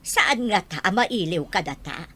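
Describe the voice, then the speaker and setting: A woman's voice: lowish voice, expressive, measured pace, fairly bright tone, husky, heavily acted. A woman with an Ilocano accent acting a caring, reflective elderly grandmother in an audio drama. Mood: fond teasing.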